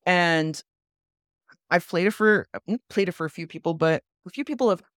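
Woman, low voice, casual podcast host, animated chatter, dry mic. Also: moderate pace, no echo.

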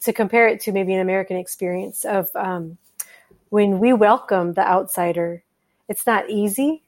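A woman guest on a podcast interview, speaking in an earnest, thoughtful way with the occasional gentle laugh. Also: mid-range voice, moderate pace. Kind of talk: conversational interview.

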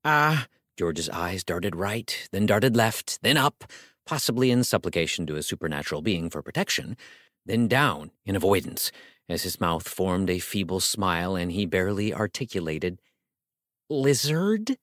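A man's voice: low voice, moderate pace, fairly bright tone, dry recording, clean studio sound. A man narrating a comic story as a scripted audiobook, theatrical and wry, savoring his phrases.

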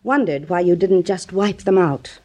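A woman's voice: fairly low pitch, normal speed, warm tone, close to an everyday voice. A proud and determined widow of an army officer, played by a woman in a 1950s radio drama. She speaks formally and imperiously, with a bitter, cutting edge. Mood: angry scorn.

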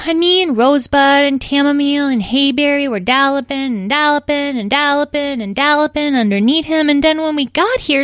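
Tiny, high-voiced baby pony. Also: female voice, fairly bright tone, dry recording, clean studio sound. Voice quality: babyish lisping mispronunciations. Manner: rambling, earnest small-child delivery. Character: tiny young female pony, earnest and babyish.

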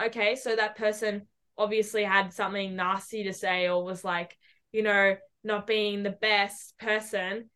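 A young woman co-hosting a chatty podcast over a video call. Her voice is bright and clear, at an ordinary pitch. She talks earnestly, full of "like" and "you know". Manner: earnest, chatty, filler-heavy. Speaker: podcast co-host. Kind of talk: casual chat.

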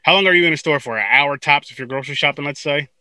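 A man's voice: fairly high pitch, very fast, pushed and bright, no room sound. A man hosting a podcast, chatting casually in a rambling way that trails off and restarts. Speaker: podcast host. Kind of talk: casual interview chat.

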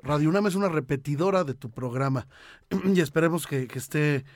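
A male interviewer with a slightly high voice asks conversational questions. His phrasing is halting and takes the long way round. The recording is dry, with little room sound. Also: a little mellow, no echo.

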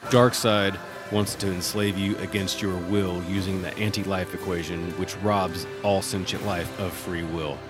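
A man's voice: sits low, flat delivery, unhurried, few pauses. A man hosting a podcast in casual solo chat, jokey and informal in his delivery. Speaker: host. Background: music playing under the voice.

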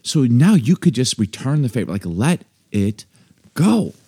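A man co-hosting a casual faith podcast, animated and jokey, often laughing. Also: medium pitch, unhurried, slightly rough. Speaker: podcast co-host.

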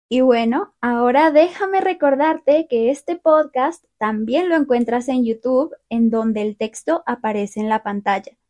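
A young woman hosting a learner podcast, talking through a calm, clear, scripted monologue. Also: on the high side. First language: Spanish. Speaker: podcast host.

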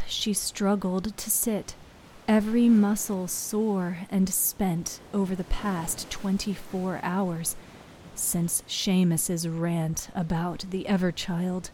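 A woman storyteller giving a solemn, dramatic narration of fiction, with hushed phrase endings. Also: medium pitch, a little hiss or hum.

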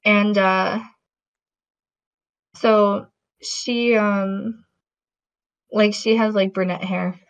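A woman's voice: medium pitch, fairly even intonation, very slow, pauses often. A woman in casual conversation who rambles, with many fillers and restarts. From Latin American heritage. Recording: dull lo-fi audio.

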